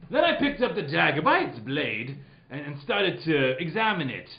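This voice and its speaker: A man's voice: a little high, big pitch swings, normal speed, vocal fry, muffled recording, slight background noise. Man playing a swooping, theatrical radio detective. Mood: mock suspense. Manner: playful, breaking off into asides and laughter. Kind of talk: hammy old-time radio mystery read, with joking ad-libs.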